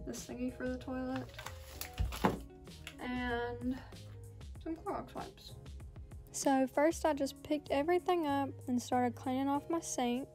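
A woman's voice: high voice, takes it slowly, long pauses, recorded from a distance, over music. Young woman chatting in a clean-with-me vlog. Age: young adult. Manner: chatty, lots of ums and so yeah. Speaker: vlogger. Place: small house rooms, indoors. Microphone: camera on a stand, from across the room.

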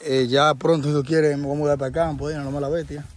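Dominican male vlog host, easygoing, slightly raised pitch, chats with locals outdoors. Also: little pitch movement, fast, background noise. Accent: Dominican Spanish. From Dominican Republic. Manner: friendly, addresses viewers as "señores". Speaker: vlogger host. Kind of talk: casual on-location interview. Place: outdoors in rural hills.

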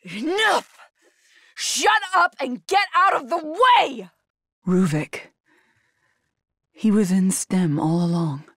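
A woman's voice: on the high side, animated, takes it slowly, pauses often, fairly bright tone, no room sound, studio quality, full character voice. An actress playing a tough, determined young woman agent in a survival horror video game, speaking in terse, guarded bursts. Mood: urgent, alarmed.

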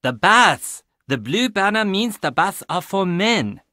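Intense young inn guy in a game, earnest, dramatic, voice jumps all over, slow-ish. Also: high voice, very animated, bright, forceful tone, dry recording, clean studio sound, full character voice. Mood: helpful, brisk. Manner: emphatic, dramatic. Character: agitated, earnest young man at a Japanese inn. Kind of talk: video game voice acting.

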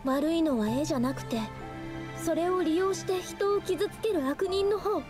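An actress playing a gentle, earnest young woman painter in a Japanese anime game, speaking politely and shyly. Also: very high voice, moderate pace. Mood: resolute. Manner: polite, soft-hearted, earnest. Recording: game music behind the voice.

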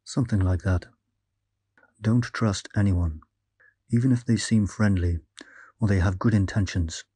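A man's voice: on the low side, measured pace, dark tone, slightly rough, dry recording. An actor playing a grave, cautioning male investigator of a town's mysteries in an audio drama. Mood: grave warning.

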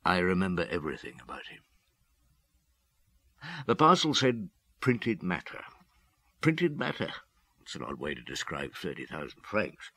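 A world-weary police detective in a radio play. His low voice moves widely as he speaks, brooding and halting, as if weighed down by guilt. The sound is close and dry. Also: huge pitch swings, sharp tone, no room sound, exaggerated voice. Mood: haunted, reflective. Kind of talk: radio drama acting.

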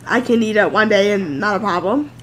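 A woman's voice: mid-range voice, a little fast, a bit bright, slight background noise, rough recording. Woman, 30s, home vlogger, congested and nasal, chatty and loose, close camera. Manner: rambling, chatty, many fillers. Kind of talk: casual sit-down chat to camera. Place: home office, indoors.

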